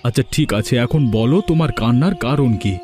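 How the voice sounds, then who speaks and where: A fretful village husband, played by a man, talking quickly in a mellow voice. He is familiar and inquisitive, a henpecked cartoon character with music faintly behind him. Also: medium pitch, lightly acted. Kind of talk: Bengali cartoon story dialogue.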